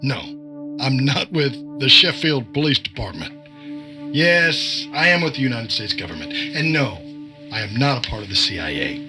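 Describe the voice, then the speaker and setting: Smug male government agent, acted, lively pitch, patronizing, wordy, mock-polite, menacing audio drama villain, music underneath. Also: moderate pace, natural voice. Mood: lecturing. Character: smug, condescending male government agent toying with his target.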